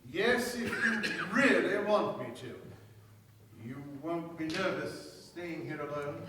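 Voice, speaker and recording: A man playing a wry, grudgingly obliging gentleman in a staged radio play, dry and deliberate, recorded in an echoey hall from far off. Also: fairly high pitch, lively intonation, background noise.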